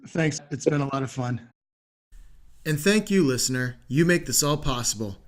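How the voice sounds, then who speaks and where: Casting: male podcast host, medium pitch, conversational interviewer. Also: expressive, moderate pace.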